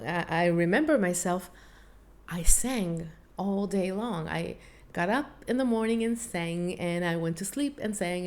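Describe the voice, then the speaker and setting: A woman's voice: fairly low pitch, darkish tone. Earnest Hebrew-accented woman talking to camera. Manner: earnest, reflective, small slips in English. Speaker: Hebrew teacher and vocal coach. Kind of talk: personal talk to camera, one take.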